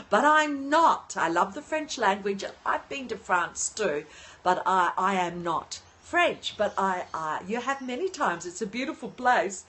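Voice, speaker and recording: An older woman hosting a casual livestream from her apartment on her phone, often pausing to greet and thank viewers. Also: medium pitch, expressive, a bit bright. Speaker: livestream host. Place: at home in an apartment.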